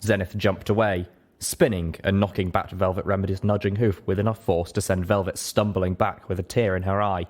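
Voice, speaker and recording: A man's voice: deep voice, little pitch movement, brisk. A man reading scripted fiction aloud as a dramatic audiobook narrator. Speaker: audiobook reader.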